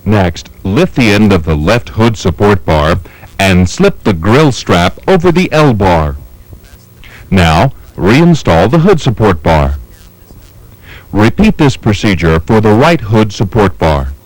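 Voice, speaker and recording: A man with a fairly low voice narrates a training film. He gives scripted instructions methodically, one step at a time, with very varied intonation. The sound is dry, with little room around the voice. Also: very animated, no room sound, low-quality recording. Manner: measured, methodical, step-by-step delivery.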